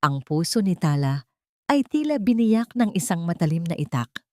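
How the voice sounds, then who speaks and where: A woman narrating a scripted romantic drama in a solemn, emotive radio-drama style with deliberate phrasing. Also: fairly low pitch, big pitch swings, warm tone, no echo, studio quality. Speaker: story narrator.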